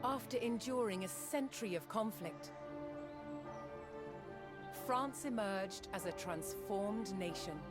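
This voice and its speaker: A woman narrates a historical documentary in a mid-range voice. Her delivery is scripted, measured and solemn, in the manner of a serious storyteller. Music plays strongly behind her throughout. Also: mid-range voice, expressive.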